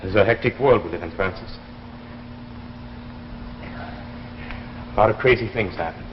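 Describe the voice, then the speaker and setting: A man's voice: on the low side, lightly acted. Man acting hesitant husband, muffled echoey old broadcast. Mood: guarded, earnest. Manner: hesitant, halting, stammering. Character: uneasy, apologetic husband recruited for a secret space mission. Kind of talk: 1950s television drama acting. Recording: muffled, noisy, echoey 1950s broadcast recording.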